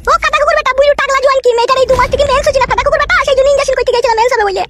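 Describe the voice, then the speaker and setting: Very high, shrill nagging cartoon wife. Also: female voice, fairly even intonation, rapid-fire, heavy background noise. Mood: scolding, angry. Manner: nagging, foul-mouthed, insistent. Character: scolding, pushy village wife with a lover, shrill-voiced. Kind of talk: comic cartoon dialogue.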